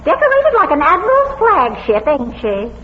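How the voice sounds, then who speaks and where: Radio drama role: homespun small-town busybody, very animated, noisy old recording. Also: female voice, high voice, normal speed, phone-quality sound. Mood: chatty, gossipy. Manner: gossipy, homespun. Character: chatty, folksy small-town gossip.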